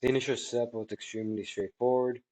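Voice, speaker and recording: A man in his thirties giving a spoken product testimonial in a halting, measured way, with drawn-out words. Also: mid-range voice, steady tone, mellow. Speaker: technology product reviewer. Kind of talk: spoken testimonial answering questions. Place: small indoor office room by a large window.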